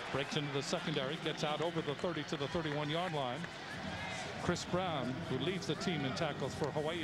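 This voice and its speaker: A man calling live college football play-by-play, reeling off player names and statistics. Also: on the high side. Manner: steady, reels off names and statistics. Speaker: play-by-play announcer. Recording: noisy old TV broadcast audio.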